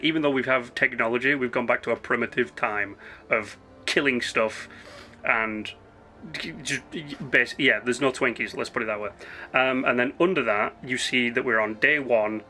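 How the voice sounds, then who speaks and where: A hoarse man who has partly lost his voice, hosting a rambling, chatty let's-play commentary full of asides and self-corrections. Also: medium pitch, bright, forceful tone. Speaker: gaming channel host.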